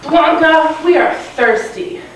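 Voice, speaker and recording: Woman acting a mean, scolding high-pitched mother. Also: slow pace, very echoey, distant mic, slight background noise, low-quality recording. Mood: demanding, cross. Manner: complaining, scolding. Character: mean, greedy mother and sister, demanding scolds.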